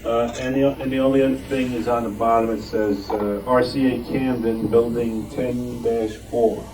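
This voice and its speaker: A man's voice: medium pitch, steady tone, normal speed. Man presenting from afar, noisy room. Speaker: club member presenting collection items. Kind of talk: informal show-and-tell presentation. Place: club meeting room with members behind. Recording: distant mic, noisy room.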